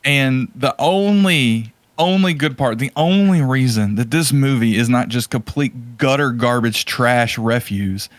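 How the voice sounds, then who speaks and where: A man's voice: medium pitch, animated, a little slow, few pauses, no echo. Exasperated, emphatic man in casual podcast chat. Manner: exasperated, emphatic, repeats words for stress. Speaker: podcast co-host. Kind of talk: casual opinionated movie chat.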